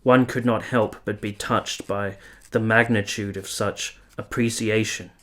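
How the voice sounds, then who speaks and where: An actor playing a weary, earnest, lovestruck army officer who narrates a radio play in solemn, literary, confessional language. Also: male voice, lowish voice, fairly even intonation, unhurried, a bit bright. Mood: fervent awe. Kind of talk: radio play, first-person letter narration.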